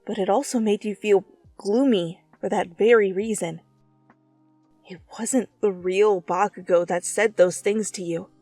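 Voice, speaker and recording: A woman reading a story aloud as dramatic, expressive narration, addressing the listener as the main character. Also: medium pitch, no room sound. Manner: theatrical, expressive. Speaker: fan fiction reader.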